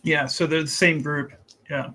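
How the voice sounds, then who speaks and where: Rambling man with a higher voice. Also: flat delivery, moderate pace, pushed and bright. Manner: rambling, restarts a lot. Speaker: player. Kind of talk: casual tabletop game chat.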